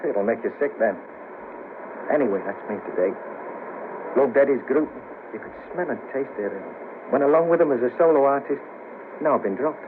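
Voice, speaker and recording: A man's voice: mid-range voice, lively intonation, normal speed, pauses often, phone-quality sound, natural voice. An actor playing a rueful, easily shocked ex band manager down on his luck in a 1970s radio play, talking in hesitant, clipped phrases on a noisy old cassette recording. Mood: wistful, disillusioned.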